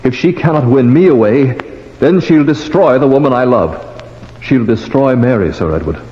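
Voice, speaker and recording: Man playing a defiant bridegroom, animated and echoing. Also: medium pitch, moderate pace, close to an everyday voice. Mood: earnest, fearful. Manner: earnest, melodramatic, intense. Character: defiant, passionate young bridegroom. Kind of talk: old-time radio horror drama acting. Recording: echoey, reverberant, muffled old radio recording.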